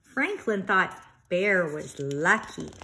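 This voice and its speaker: Bright, animated woman reading to young children. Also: lowish voice, slow pace, vocal fry, slight background noise. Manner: instructive, asking questions and repeating key words. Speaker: reader of a children's book, teacher. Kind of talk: expressive read-aloud for young children.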